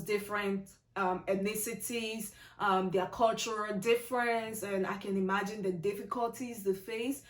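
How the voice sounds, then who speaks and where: Upbeat woman host in an echoey room. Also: medium pitch. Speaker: interview host. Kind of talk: hosting an online interview. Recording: echoey room with faint music.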